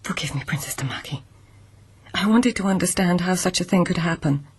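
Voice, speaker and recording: A woman acting in a radio drama as a gentle, loyal lady of the court, earnest and careful, with a softly husky voice. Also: lowish voice, expressive, brisk. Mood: deferential, steadfast.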